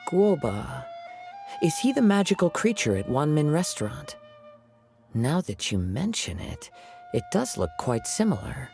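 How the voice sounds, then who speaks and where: A man voicing a modest young wandering swordsman in an anime game, courteous, soft-spoken and poetic. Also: fairly high pitch, very animated, measured pace, creaky, over music, full character voice. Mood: serene. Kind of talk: anime video game voice acting.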